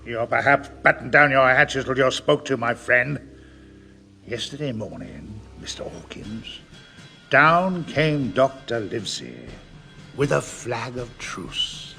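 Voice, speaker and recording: A man's voice: mid-range voice, expressive, takes it slowly, vocal fry, pushed and bright, faint music behind, heavily acted. A man playing a wily old pirate captain in an audio adventure, mock-friendly and menacing, talking in a sly rustic seafaring dialect. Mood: sly bargaining.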